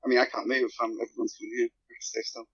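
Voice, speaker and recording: A man's voice: medium pitch, flat delivery, quick pace, a bit bright, breathy edge. A man talking casually as a guest author on a group podcast. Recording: muffled lo-fi voice chat audio.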